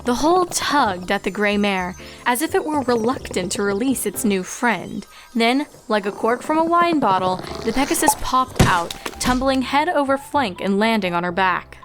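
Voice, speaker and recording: A woman's voice: fairly high pitch, expressive, moderate pace, hardly any pauses. A woman reading fan fiction aloud as scripted storybook narration, with music behind.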